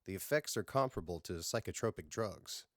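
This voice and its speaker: A precise, cautionary female ship's doctor, read by a male narrator in a science fiction audiobook. The voice is formal and low, with a dry, close sound. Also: expressive, brisk, a bit bright, no echo.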